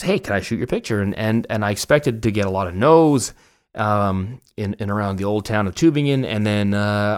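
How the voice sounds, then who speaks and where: A man with a Canadian accent hosting a podcast, chatting in a casual, rambling way with a joking, self-mocking manner. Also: on the low side. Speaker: podcast host. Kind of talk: casual, rambling personal chat.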